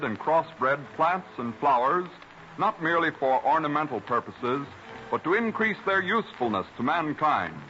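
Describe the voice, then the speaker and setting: A man's voice: on the high side, bright, forceful tone. A man with an American accent giving formal, scripted narration as a 1930s radio announcer. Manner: formal, measured delivery. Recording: dull, noisy 1930s radio recording.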